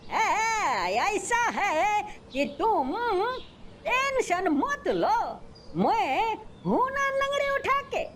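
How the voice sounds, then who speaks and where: Woman voicing a squeaky, dragging cartoon animal soldier. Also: very animated, very slow, noisy background, exaggerated voice. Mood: reassuring. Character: bossy, bungling little jungle animal soldier, male, boastful. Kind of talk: Hindi animal cartoon dialogue.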